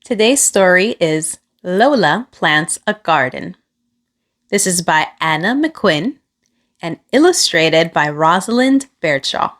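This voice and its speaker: A woman reading a children's picture book aloud, with expressive, deliberate phrasing aimed at young listeners. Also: fairly low pitch. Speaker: children's storybook reader.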